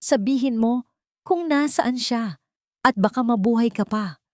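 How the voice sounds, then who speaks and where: An angry, menacing man who holds people captive , acted by a woman storyteller. He throws out threats and demands in a raised pitch. It is audiobook drama with a dry sound. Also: a little slow, no room sound, close to an everyday voice. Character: angry, menacing male villain and captor.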